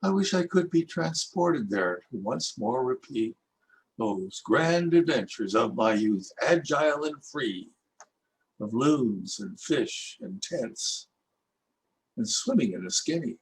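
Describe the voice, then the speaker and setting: An older Canadian man reading his own rhyming poems aloud in a measured, lilting recitation. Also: medium pitch, slight background noise, low-quality recording. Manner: lilting, expressive recitation with rhythmic rhyme. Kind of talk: slow, measured poetry reading.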